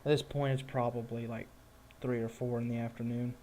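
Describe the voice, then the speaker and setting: A man running a tabletop game, narrating off the cuff in an informal, halting way and chatting casually. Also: mid-range voice, little pitch movement, noisy background. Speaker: game master.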